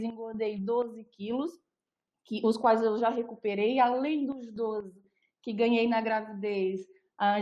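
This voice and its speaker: A young Brazilian woman speaks with a Brazilian Portuguese accent, presenting on nutrition in a friendly, explanatory tone. Her voice sits a little high, and she leans on fillers as she goes. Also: dark tone. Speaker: nutritionist giving a talk. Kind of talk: online presentation.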